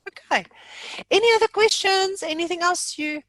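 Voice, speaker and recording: A woman's voice: extremely high pitch, moderate pace, a bit bright, no room sound, poor audio. A woman hosting an informal online training session in a jokey, chatty, self-deprecating way. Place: remote online meeting from own room.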